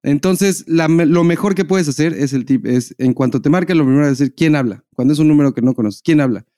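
A Mexican man chats as a podcast host in a relaxed, warm timbre that sits slightly high for a man. His delivery is casual and slangy, recorded dry with no room sound. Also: quick pace, no room sound. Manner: informal, slangy. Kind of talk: casual conversational chat.

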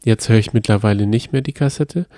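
A man's voice: fairly low pitch, a little fast, dark tone, no room sound, studio quality. A man co-hosting a podcast in casual chat, eagerly retelling a plot and often restarting his sentences. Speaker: podcast co-host.

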